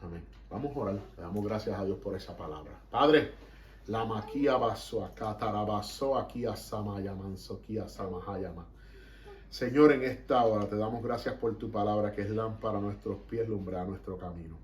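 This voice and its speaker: A man's voice: fairly low pitch, moderate pace, slight background noise. A man with a Puerto Rican accent preaching fervently to a congregation as pastor, mixing in English. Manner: fervent, emphatic preaching that slips between Spanish and English. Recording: echoey room, mic at a distance.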